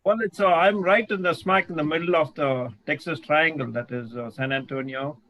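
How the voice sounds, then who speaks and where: A man introducing himself on a video call, speaking haltingly with many restarts. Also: a little high, lo-fi sound. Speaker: real estate investor.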